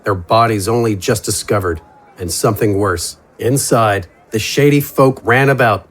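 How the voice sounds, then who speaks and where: A man's voice: lowish voice, normal speed, fairly bright tone. A man reading scripted fantasy narration in a dramatic storytelling style.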